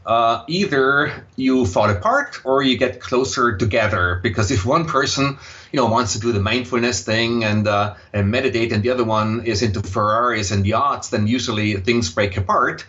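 An older man from Austria speaks in English with a light Austrian German accent, at a middle pitch. He answers in a measured, thoughtful way, pausing on fillers. The recording sounds a little muffled and lo-fi. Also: a bit bright. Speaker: guest, impact investor. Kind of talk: interview answers. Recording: slightly dull lo-fi audio.

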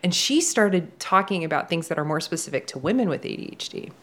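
A fairly low-voiced woman, a therapist whose first language was Polish, chatting in a relaxed podcast conversation. She tells her stories with energy, slipping into the voices of the people she quotes and laughing often. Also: brisk. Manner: animated storyteller who acts out quoted speech and laughs often.

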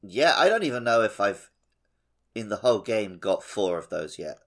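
Low, gravelly-edged man chatting casually. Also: sing-song, moderate pace, a bit bright. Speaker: podcast co-host. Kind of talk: casual chat.

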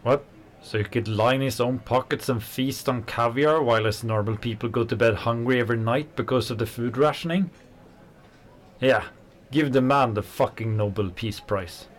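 A man's voice: medium pitch, normal speed, close to an everyday voice. A man playing a furious socialist kitchen worker. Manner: heated, scornful and swearing. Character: angry working-class male kitchen worker, a fiery socialist. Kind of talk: radio play acting.

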